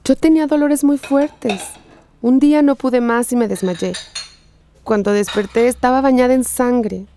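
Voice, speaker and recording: An actress playing a gentle, resilient female survivor, speaking earnestly and confidingly in a radio drama. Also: a little high, measured pace, slight background noise. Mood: pained, recalling.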